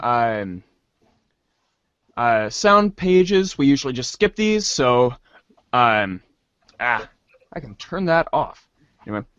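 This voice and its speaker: A man's voice: mid-range voice, a bit bright, dry recording, clean studio sound. A man narrating as host of a reader's theater, theatrical in the story and casual in asides, on a slightly dull recording.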